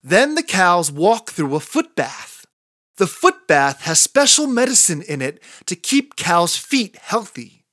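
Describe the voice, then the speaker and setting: Slow, lively children's storybook narrator. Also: mid-range voice, dry recording, clean studio sound. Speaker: children's picture book narrator. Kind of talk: scripted read-aloud narration for children.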